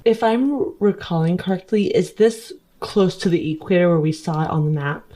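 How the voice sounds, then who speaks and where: A woman's voice: fairly low pitch, moderate pace, dark tone. A woman chatting casually as a player in a tabletop roleplaying game. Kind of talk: casual chat. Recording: music under the voice. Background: background music.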